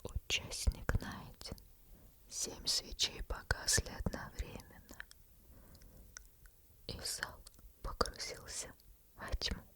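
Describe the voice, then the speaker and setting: A woman tells a bedtime story in a breathy, unvoiced whisper. Her hushed voice sounds bright and crisp, and she lingers over every phrase at a slow pace. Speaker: ASMR storyteller.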